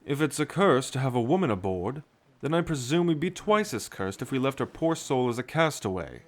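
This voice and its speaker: A man's voice: mid-range voice, big pitch swings, a little fast, close to an everyday voice. A man playing an educated, kindly, rational sailor with articulate, bookish phrasing in a nautical fantasy audio drama. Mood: earnest, persuasive. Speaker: ship crewman.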